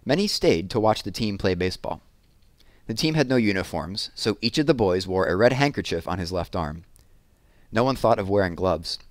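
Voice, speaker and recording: A man's voice: on the low side, animated, normal speed, dry recording. A man narrating a children's story as a read-aloud. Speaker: storybook reader.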